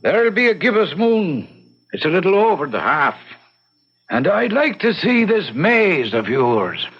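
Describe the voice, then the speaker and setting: An actor playing a hesitant, gently probing priest who stammers his questions in an old-time radio drama. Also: sits high, sing-song, unhurried, heavily acted. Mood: hesitant, amiable. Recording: muffled old radio with faint noise.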